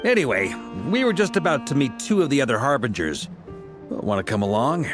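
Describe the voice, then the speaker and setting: A man's voice: mid-range voice, big pitch swings, brisk, over music, close to an everyday voice. An actor playing an affable, easygoing young man in a video game, talking in a friendly, casual, slightly sheepish way. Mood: easygoing, teasing.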